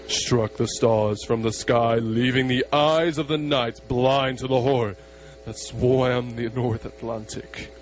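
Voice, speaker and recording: A man delivers dramatic horror narration for a radio play. His pace is very measured and his manner is solemn and portentous, at an ordinary middle pitch, with faint noise behind the recording. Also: poor audio.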